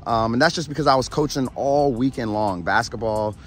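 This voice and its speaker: Hoarse, fired-up motivational man. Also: medium pitch, a little fast. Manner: energetic, preachy, repeats "all right" and "family". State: hoarse, losing his voice; tired. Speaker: motivational YouTube host and coach. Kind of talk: motivational talk to camera. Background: background music.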